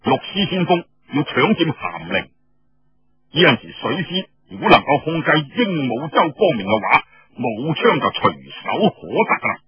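A man's voice: on the high side, big pitch swings, normal speed, slightly rough. A man telling a story in colloquial Cantonese, in a dramatic audiobook style with conversational asides. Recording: dull, echoey radio-style recording, faint music.

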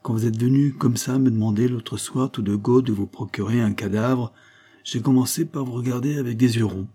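A man as a guarded, husky musician neighbour. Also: medium pitch, normal speed, mellow, pitched above the performer's own voice, lightly acted. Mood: chatty, wary. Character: wary neighbour, a musician, frank, chatty, firm. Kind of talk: audiobook character dialogue.

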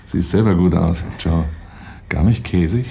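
An actor playing a wary male first-person narrator in a radio crime drama, speaking in a measured, matter-of-fact way. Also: deep voice, vocal fry, warm tone, slight background noise.

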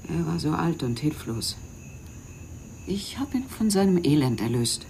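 Slow-spoken, embittered low-voiced woman. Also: very animated, vocal fry, darkish tone, a little hiss or hum, heavily acted. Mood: evasive calm. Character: embittered, self-pitying woman, a desperate mother who feels cheated. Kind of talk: German radio crime drama acting.

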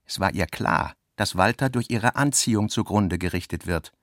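A man's voice: deep voice, animated, normal speed, a bit bright, no echo, studio quality. A man narrating literary prose as the narrator of a radio drama.

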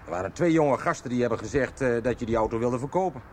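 An actor playing a gruff, commanding crook in a radio soap, stern and threatening in manner. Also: male voice, medium pitch, expressive, quick pace, lightly acted. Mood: suspicious. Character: bossy, gruff male crook threatening a nervous accomplice. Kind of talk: 1990s Dutch radio soap acting. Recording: faint background noise.

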